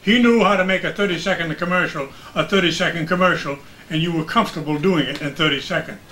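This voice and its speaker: A man's voice: fairly high pitch, quick pace, sharp tone, a little hiss or hum. An older man, a veteran radio broadcaster, casually reminiscing in conversation.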